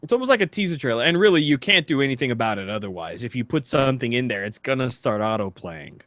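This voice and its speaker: A man's voice: mid-range voice, very animated, fast, fairly bright tone, no room sound. A man chatting and bantering casually as a podcast co-host.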